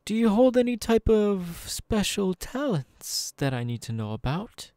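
A suave male demon, speaks with slow, swooping intonation. He is genteel and smug, full of false sympathy and theatrical pauses. The recording is dry, in an ASMR audio roleplay style. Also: high voice, very animated, mellow, no echo. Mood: smooth, curious.

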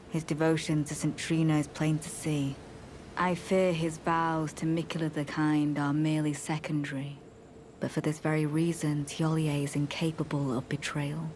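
An actress playing a solemn, devout female knight in a video game, with measured, formal, archaic phrasing and a courteous but coldly zealous manner. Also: low voice, a little mellow, a little hiss or hum. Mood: pensive. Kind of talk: scripted video game dialogue.

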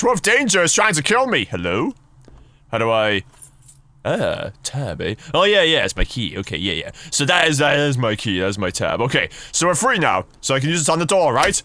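A man commentating on a horror game as he plays, jokey and excitable, his voice husky and deeper than usual from being sick. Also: dry recording. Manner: jokey, excitable, yelps and giggles. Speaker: gaming YouTuber.

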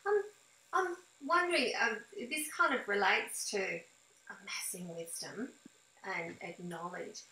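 A middle-aged woman conducts a conversational interview in a bright voice. Her phrasing is thoughtful and somewhat hesitant. She sits in a small room on a video call, with noticeable echo. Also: mid-range voice, slow. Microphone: video call webcam setup. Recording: roomy, echoey video call audio.

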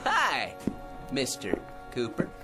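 A middle-aged American man, a boastful radio-drama bore. His pitch leaps around as he holds forth with great self-importance. Music plays behind him. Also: wildly swooping pitch, drawn-out words, heavily acted. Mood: smug. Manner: self-important, punctuates speech with "huh?".

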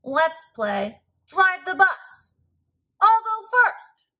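Woman voicing an excitable, high-pitched pleading pigeon. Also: extremely slow, full character voice. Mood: excited. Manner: begging, dramatic, whiny. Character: pleading, wheedling, excitable cartoon pigeon desperate to drive. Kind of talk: children's picture-book read-aloud.

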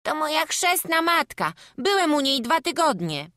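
A woman's voice: sits high, sing-song, measured pace, pushed and bright, dry recording, clean studio sound, heavily acted. A woman voicing a small, humble and wary peasant boy who speaks in rustic village dialect, in an audiobook. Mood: earnest. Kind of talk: audiobook acting.

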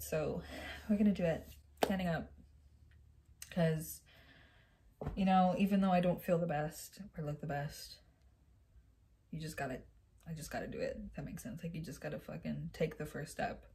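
A woman's voice: deep voice, steady tone, a little hiss or hum. A young woman with a congested, stuffy nose, chatting casually and rambling to a phone camera in a small bedroom. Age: young adult. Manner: rambling, self-mocking, quick chatter. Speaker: singer vlogger. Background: song playing quietly.

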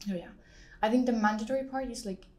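A woman's voice: fairly high pitch, fast talker, a little mellow. A young woman with a Finnish accent, a guest in a studio interview, talking casually with lots of fillers. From Finland. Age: young adult. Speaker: guest, exchange student. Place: studio, indoors. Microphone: studio mic on boom arm.

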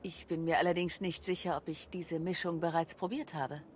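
An actress playing a resolute, courteous female commander in a video game, calm and formal. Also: on the low side, a little fast, a little hiss or hum, close to an everyday voice. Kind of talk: video game cutscene acting.

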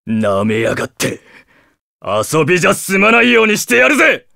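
A man playing an arrogant young demon warrior in an anime game, gruff, curt and scornful. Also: high-pitched, huge pitch swings, moderate pace, bright, forceful tone, dry recording, studio quality, exaggerated voice. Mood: angry.

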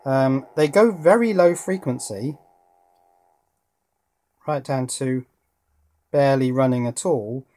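A man's voice: mid-range voice, slow pace, pauses often, mellow, slight background noise. A man giving a casual hands-on electronics demonstration, thinking aloud a little hesitantly as he goes. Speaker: electronics hobbyist presenter. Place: indoors at a workbench.

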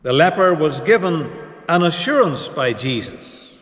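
Slow-preaching pastor, echoey church. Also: male voice, a little high, sing-song, a bit bright. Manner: slow, measured preaching with pauses. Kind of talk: sermon. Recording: echoey church hall reverb.

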